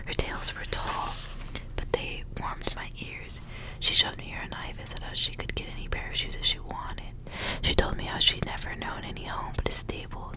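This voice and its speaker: A woman reading a story aloud as ASMR, in a soft, breathy whisper. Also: rough recording. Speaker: ASMR reader and channel host.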